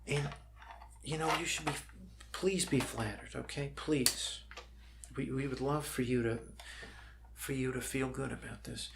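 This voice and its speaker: A man doing soft, improvised ASMR roleplay as a bumbling, friendly doctor, rambling and apologetic in a scatterbrained way. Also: medium pitch, steady tone, a little slow, vocal fry, long pauses, heavy background noise. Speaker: ASMR performer playing a doctor.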